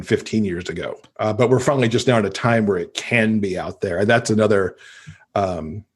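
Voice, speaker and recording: Low-voiced, slightly husky man chatting. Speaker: podcast guest, avid reader. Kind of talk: casual interview conversation about books.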